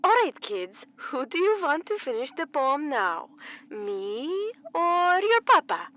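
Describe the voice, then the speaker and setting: A woman's voice: sits high, very animated, slow, pushed and bright, exaggerated voice. A woman voicing a proud, stern but affectionate alien creature mama, theatrical and commanding, in a comedic audio drama. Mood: indulgent. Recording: dull muffled sound under music.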